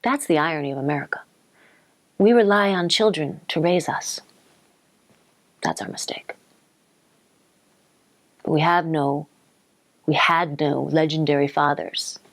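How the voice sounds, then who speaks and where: Woman, very low pitch, creaky fry, opinionated commentary. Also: a little slow, pauses often. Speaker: interviewee.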